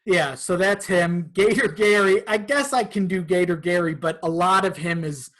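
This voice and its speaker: A man's voice: high-pitched, moderate pace, fairly bright tone. A man talking casually as a podcast guest about his creative work. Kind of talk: casual chat.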